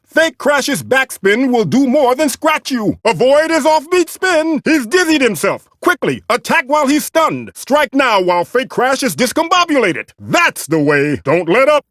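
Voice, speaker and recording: A man's voice: very high voice, big pitch swings, measured pace, fairly bright tone, no room sound, clean studio sound, full character voice. A man voicing a fussy, theatrical mystical guide in a cartoon video game, formal and earnest in delivery. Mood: urgent.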